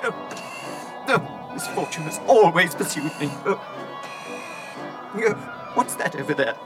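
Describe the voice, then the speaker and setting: Over swelling music, a prissy protocol droid from a science-fiction radio play. He swings widely in pitch as he frets, scolds and pities himself with theatrical indignation. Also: animated, a little slow. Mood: despairing. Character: fussy, anxious, self-pitying male protocol droid.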